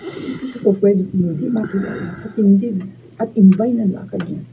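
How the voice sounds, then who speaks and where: A woman's voice: medium pitch, normal speed, noisy background, natural voice. A Filipino woman acting in a radio drama as a determined wife searching for her missing husband. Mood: tense, intent.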